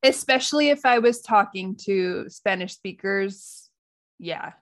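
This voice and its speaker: A woman's voice: medium pitch, measured pace. A woman with an American accent co-hosting a casual podcast, thinking aloud and laughing often. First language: English. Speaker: podcast co-host.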